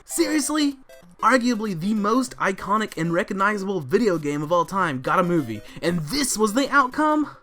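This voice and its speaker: A man's voice: high-pitched, sing-song, moderate pace, pushed and bright. An American man in his thirties co-hosting a scripted countdown, ranting in an exasperated, theatrical way. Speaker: co-host of a countdown show. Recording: music under the voice.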